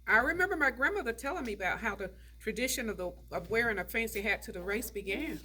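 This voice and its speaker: A woman's voice: fairly high pitch, expressive, fast talker, pushed and bright, breathy edge, heavily acted. A woman reading the part of a haughty, tart, nostalgic older society lady in a stage play. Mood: storytelling. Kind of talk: stage play table read.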